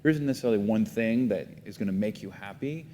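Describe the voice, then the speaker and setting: Low-voiced man, reflective, roomy sound. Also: fast talker, dark tone. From Miami, United States. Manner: reflective, many fillers and restarts. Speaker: guest, foundation CEO. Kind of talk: casual interview talk. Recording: roomy reverb, faint rumble.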